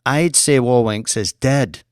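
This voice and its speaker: A man's voice: medium pitch, takes it slowly, a little mellow, clean tone, dry recording, clean studio sound, close to an everyday voice. Man reading as a firm, formal female diplomat. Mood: grave, matter-of-fact. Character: proficient, wary female British diplomat, firm, professional.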